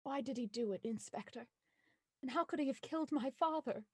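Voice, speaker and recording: A woman's voice: high-pitched, brisk, breathy edge, no echo, studio quality. A woman playing an earnest, bereaved young Victorian heiress in a murder mystery audio drama. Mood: hurt questioning.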